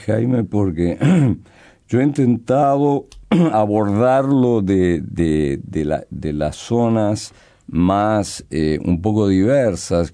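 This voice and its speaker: Slow, mellow older man. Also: sits low. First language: Brazilian Portuguese. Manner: slow, hesitant, halting, thoughtful. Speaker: poet and guest. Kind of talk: reflective interview talk.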